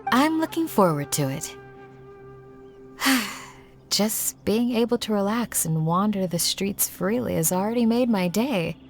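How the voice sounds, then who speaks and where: A gentle, polite young woman from a video game. Her medium-pitched voice is calm and measured, with soft music underneath. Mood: calm, warm.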